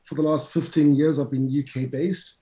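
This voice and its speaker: A middle-aged man with a South African accent talks through his career in a chatty, lecturer's way, sometimes speaking to listeners directly. His voice is dark and mellow. It sits in a roomy space and sounds a little dull. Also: a little high, level tone, normal speed. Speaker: CFA instructor, guest. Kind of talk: conversational interview answers.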